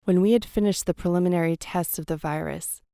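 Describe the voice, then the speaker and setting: A woman acting as an earnest doctor's ghost from a war long past. Her voice is lowish and mellow with plenty of vocal fry, recorded close and dry. She speaks frankly and conversationally, as in a radio drama. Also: fairly quick, dry recording. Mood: grave, confessional.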